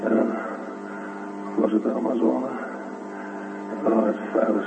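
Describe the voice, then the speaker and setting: An actor playing an exhausted, tormented astronaut in a radio drama, halting and groaning, swinging between defiance and pleading. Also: male voice, on the low side, fairly even intonation, creaky, heavy background noise. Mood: muttering, defiant. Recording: echoey distant dull radio recording, loud music.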